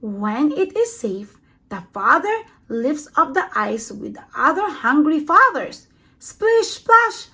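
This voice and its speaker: A woman reading a children's picture book aloud in an expressive storytelling manner, giving extra emphasis to sound words. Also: high-pitched. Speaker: storybook reader.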